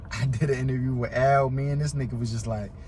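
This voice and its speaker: A man's voice: medium pitch, steady tone, fairly quick, darkish tone, slight background noise. An American man who stutters, chatting casually and emphatically as a podcast guest, with heavy slang and swearing. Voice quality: stutter, word and syllable repetitions. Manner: emphatic, repeats himself for emphasis.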